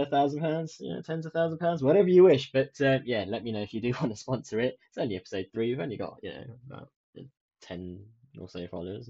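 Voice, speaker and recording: A young man from the UK hosting his own podcast in a soft, soothing voice, rambling with many ums and restarts. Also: medium pitch, slightly rough. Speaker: podcast host. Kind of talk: casual solo podcast chat. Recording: dull lo-fi sound.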